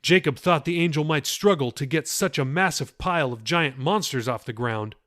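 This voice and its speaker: A man's voice: a little high, normal speed, fairly bright tone, no room sound, clean studio sound. A man reading his own novel aloud as an audiobook, with dramatic shifts in pace. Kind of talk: audiobook narration.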